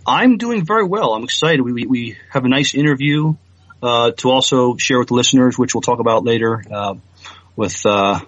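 A man's voice: medium pitch, normal speed, fairly bright tone, dull audio. A man co-hosting a podcast, speaking hesitantly with many fillers. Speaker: podcast co-host. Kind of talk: casual podcast chat.

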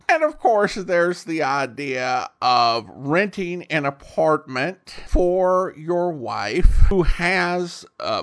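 A man speaks as a reviewer hosting a show, in a measured, conversational way. His pitch sits a little high and moves around a great deal. He delivers his opinions at a slow pace. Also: a bit bright. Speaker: host reviewing old radio drama. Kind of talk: semi-scripted review commentary.

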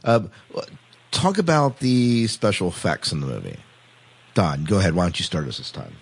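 Male podcast host, low husky voice, halting and conversational. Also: big pitch swings. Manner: halting, repeats words. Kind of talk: casual panel discussion.

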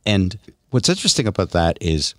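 A man's voice: low voice, sing-song, brisk, a bit bright, dry recording. A man co-hosting a podcast with casual commentary and dry, wry humor. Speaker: radio show podcast co-host.

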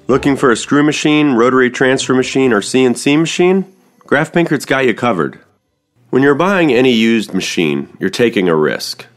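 A man hosting a podcast, reading the intro and a sponsor ad clearly and steadily, then asking his guest questions. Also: mid-range voice, normal speed, soft background music. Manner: clear, steady read; spells out web addresses. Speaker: podcast host. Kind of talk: podcast intro, ad read and interview.

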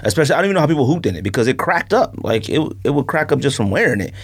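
A man's voice: mid-range voice, sing-song, racing, husky. A man in casual podcast chat, slangy and opinionated. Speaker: podcast panelist.

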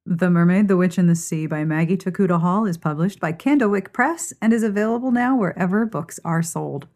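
Informal woman podcast host, slightly low voice. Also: fairly quick, a little mellow, soft background music. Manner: informal, playful, conversational. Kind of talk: chatty podcast hosting and sign-off.

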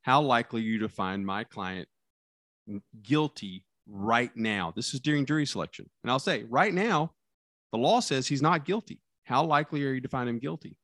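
A man who is a criminal defense lawyer talking casually about trial practice, with a loud, carrying voice, acting out courtroom lines and dropping his voice low for effect. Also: moderate pace, no echo, studio quality. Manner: animated storyteller, acts out courtroom lines, drops voice low for effect.

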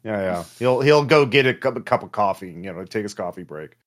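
Low-voiced man running a tabletop game. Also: animated, brisk, a bit bright. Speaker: game master. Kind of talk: tabletop game narration, improvised.

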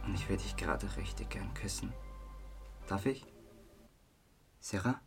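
A young man playing a lovesick, awkward, stoned partygoer in an audio drama, halting and earnest. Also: low-pitched, animated, vocal fry, full character voice. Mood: nervous, lovestruck. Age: young adult. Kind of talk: amateur audio drama dialogue. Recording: music behind the voice.

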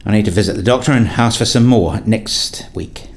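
A man's voice: lowish voice, normal speed. A man playing a brooding, rule-obsessed husband and father in a radio play, speaking in an introspective, pedantic way. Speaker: narrator and lead character. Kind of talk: first-person radio play narration and dialogue.

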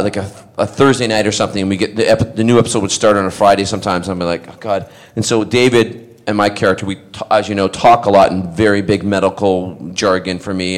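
Middle-aged actor at a fan panel, lively anecdotes, keeps laughing and doing voices. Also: male voice, lowish voice. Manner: animated, laughing, acts out other voices. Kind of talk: anecdotal storytelling to an audience.

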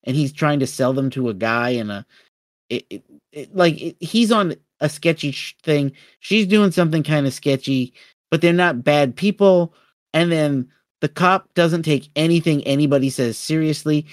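A man's voice: on the high side, moderate pace, no echo. Man casually co-hosting, dry close sound. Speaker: podcast co-host. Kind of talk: casual film discussion.

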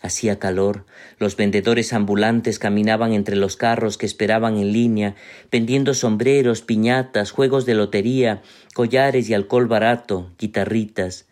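A man reading a short story in the voice of a teenage girl narrating her own past, calm and measured. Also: on the low side, natural voice. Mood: reflective. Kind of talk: read-aloud short story.

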